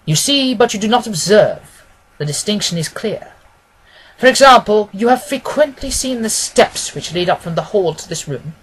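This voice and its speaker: A man voicing a self-assured male detective in an audiobook, keenly observant and gently mocking, with an emphatic, didactic manner. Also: high-pitched, expressive, moderate pace, husky, pitched above the performer's own voice, full character voice, rough recording. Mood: emphatic, instructive. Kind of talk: dramatised audiobook reading.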